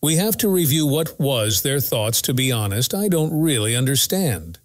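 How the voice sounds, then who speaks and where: A man's voice: mid-range voice, big pitch swings, moderate pace, no echo, clean studio sound. A man reading a scripted race recap as the narrator of a motorsport news channel.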